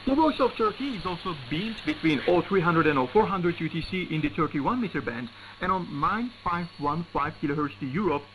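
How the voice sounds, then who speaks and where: A man's voice: on the high side, expressive, brisk, few pauses, fairly bright tone. A man reading a scripted station announcement as a radio announcer, heard over shortwave radio. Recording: noisy shortwave radio reception. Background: static and radio noise.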